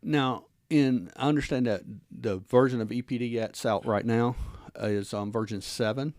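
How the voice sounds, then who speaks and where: A male interview host with a medium-pitched voice, recorded dry and close to free of room sound. He talks in an informal, wordy way, circling and repeating phrases as he goes. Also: moderate pace, a little mellow, no room sound. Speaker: guest host, former police officer. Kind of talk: conversational interview hosting.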